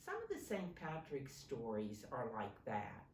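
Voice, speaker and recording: A woman's voice: very deep voice, huge pitch swings, measured pace. A woman reading a picture book aloud to young children like a teacher, explaining simply and prompting her listeners. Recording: roomy, distant microphone, faint background noise.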